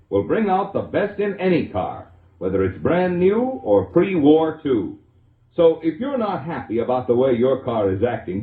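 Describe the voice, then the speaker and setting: An echoey, dull-sounding vintage radio recording carries an American man announcing a commercial in 1940s style. His pitch moves in lively swings as he delivers polished, emphatic scripted sales copy. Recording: vintage radio recording, roomy echo, muffled lo-fi.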